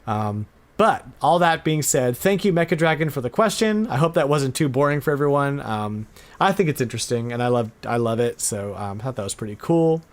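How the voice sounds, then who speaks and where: A man's voice: medium pitch, lively intonation, a little fast. A middle-aged man chatting casually as the host of a podcast. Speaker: podcast host and retro game store owner.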